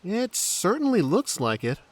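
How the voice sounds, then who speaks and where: A man's voice: sits high, huge pitch swings, measured pace, exaggerated voice. A man playing an earnest, cautious and polite cleric in a fantasy audio drama. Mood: awed, alarmed.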